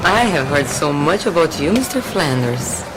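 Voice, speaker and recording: A woman's voice: low-pitched, very animated, normal speed, loud music behind the voice, low-quality recording. Poised, flirtatious lady. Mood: intrigued, teasing. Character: flirtatious, poised Brazilian woman. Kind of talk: audio drama acting. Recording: very loud ambient noise behind.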